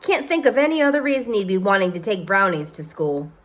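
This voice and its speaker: A woman voicing a boy's mother who talks in a slow, knowing, amused way. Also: medium pitch, sing-song, a bit bright. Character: warm, amused mother of a boy.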